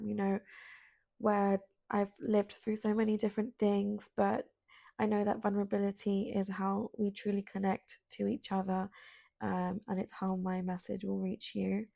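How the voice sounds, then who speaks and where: A woman born in London with Spanish as her first language, hosting a solo spiritual podcast in a soft, hesitant, earnest way with many ums. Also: mid-range voice, gravelly, no room sound. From Colombian, born in London. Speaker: podcast host. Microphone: self-filmed at home.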